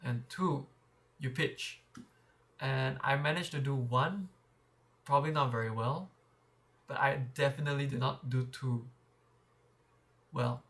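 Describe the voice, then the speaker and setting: A man's voice: medium pitch, measured pace, long pauses, a bit bright. A young man talking candidly in a casual vlog, reflecting with frequent restarts and repeated words, recorded on a clip-on shirt mic. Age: young adult. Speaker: vlogger.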